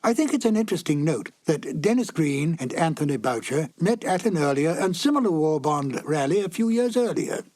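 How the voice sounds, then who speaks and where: Higher-pitched man presenting classic radio drama. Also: big pitch swings, brisk, few pauses, a little mellow. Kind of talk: scripted narration.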